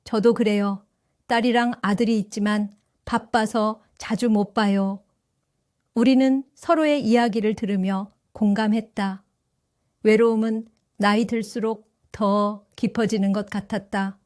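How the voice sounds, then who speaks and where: A woman in her seventies narrating her own story for an audio drama, in a gentle, reflective and wistful way. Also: mid-range voice, steady tone, measured pace, no echo, clean studio sound. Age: 70s. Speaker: first-person narrator.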